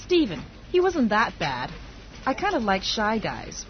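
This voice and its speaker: A woman acting a lively chatty woman. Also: medium pitch, unhurried, a little hiss or hum. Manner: conversational, expressive. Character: woman talking over her dating life. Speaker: dialogue actor. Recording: dull lo-fi audio.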